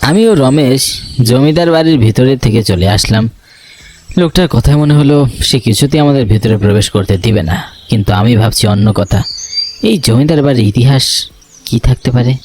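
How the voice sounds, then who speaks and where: Nervous, curious young male lead , male actor, first-person horror cartoon narration, mid-range pitch, light music bed. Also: moderate pace, darkish tone, lightly acted. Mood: uneasy, suspenseful. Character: nervous, curious young male photographer telling his ghost story.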